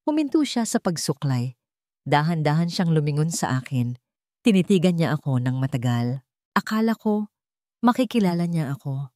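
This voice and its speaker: A woman's voice: sits low, very animated, normal speed, long pauses, dark tone, no room sound, clean studio sound. A woman audiobook narrator reading a dramatic first-person story in a solemn, emotive manner.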